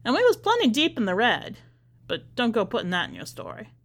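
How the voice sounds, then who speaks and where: A woman's voice: a little high, huge pitch swings, brisk, full character voice. Woman acting a chatty, folksy church lady. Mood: guarded, pointed. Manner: chatty, folksy Southern-style church talk. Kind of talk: acted storybook dialogue.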